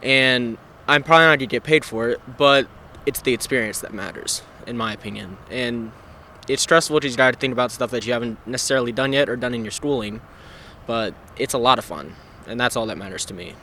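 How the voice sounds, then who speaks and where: A man's voice: mid-range voice, fairly quick, sharp tone, a little hiss or hum. A young man in his twenties answering a street interview in an earnest, rambling way. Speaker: student interviewee.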